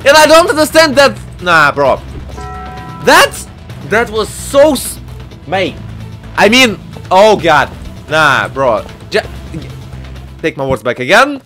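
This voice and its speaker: Excitable gamer guy doing commentary, high voice all over the place, stammers a lot. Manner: exclamatory, stammering, slangy. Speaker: gaming video host.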